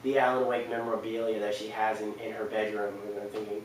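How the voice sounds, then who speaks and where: A man's voice: lowish voice, little pitch movement. Young man rambling in a very echoey room. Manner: rambling, halting, backtracks often. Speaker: video host. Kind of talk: casual commentary to camera. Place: wood-walled room indoors, on a couch. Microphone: camera mic across the room. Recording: very echoey room, distant mic, faint noise.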